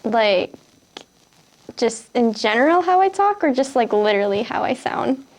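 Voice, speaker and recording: A woman's voice: a little high, big pitch swings, normal speed. A 19-year-old woman with a Wisconsin accent, reading a word list and then answering interview questions hesitantly, with many fillers. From Kenosha, Wisconsin.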